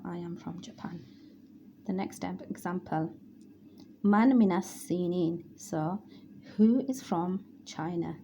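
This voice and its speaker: Vrouw met lage, zachte stem die geduldig Arabisch uitlegt. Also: female voice, fairly low pitch, dark tone, a little hiss or hum. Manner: repetitive, patient, reads out word lists. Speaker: Arabic teacher. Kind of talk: explanatory grammar lesson.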